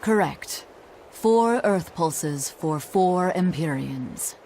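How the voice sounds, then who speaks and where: An actress playing a learned, unhurried woman scholar of ancient lore in a video game, speaking in a measured way. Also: on the low side, expressive, mellow, slight background noise, heavily acted. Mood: composed. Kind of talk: expository video game voice acting.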